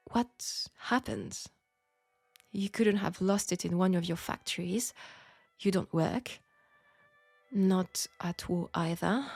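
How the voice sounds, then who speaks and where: Slow, breathy French-accented seamstress played by a woman. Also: on the low side, a little mellow, dry recording, exaggerated voice. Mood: curious, disbelieving. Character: flirtatious, caring Parisian seamstress in a steampunk tale. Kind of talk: intimate scripted audio roleplay addressed to the listener.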